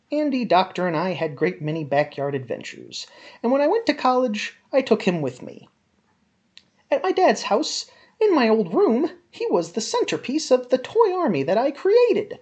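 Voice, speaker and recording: A woman giving a theatrical dramatic reading of a written review in an overwrought, melodramatic manner with drawn-out emphasis. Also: medium pitch, mellow, lo-fi sound. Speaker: reader of a written film review.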